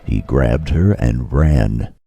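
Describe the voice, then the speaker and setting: A man's voice: a bass voice, slow pace, creaky, over loud music, heavily acted. A man reading scripted narration for an audio drama as a grave storyteller.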